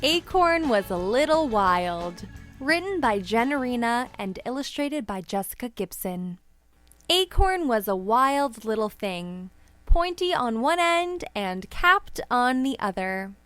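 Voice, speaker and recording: A woman's voice: a little high, big pitch swings, slow pace, a bit bright, dry recording, soft background music. A woman narrating a children's storybook expressively, with playful, dramatic emphasis. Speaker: children's book read-aloud presenter.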